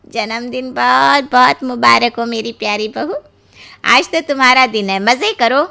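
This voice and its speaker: An affectionate middle-aged mother-in-law in a cartoon family tale, played by a woman. Her voice is bright and fairly high, and she talks in a motherly, coaxing, playful way. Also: moderate pace, creaky. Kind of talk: animated Hindi moral story voice acting.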